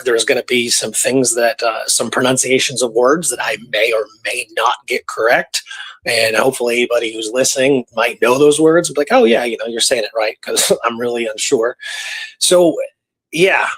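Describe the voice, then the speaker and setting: A man's voice: mid-range voice, slightly rough, rough recording. A man co-hosting a show, talking casually and somewhat hesitantly as he searches for his words. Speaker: podcast co-host. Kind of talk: casual conversational storytelling.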